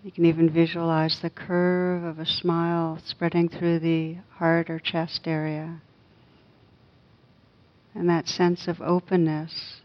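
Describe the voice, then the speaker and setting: A woman leading a guided meditation as a teacher, gentle and unhurried, with long pauses between soft phrases. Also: fairly low pitch, little pitch movement, lo-fi sound.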